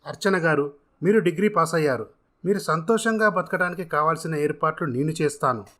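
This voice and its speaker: Man acting an earnest, bright-voiced, generous contractor. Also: a little high, fairly quick, close to an everyday voice. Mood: encouraging. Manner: earnest, formal, exhorting. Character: earnest, generous self-made contractor encouraging a troubled woman.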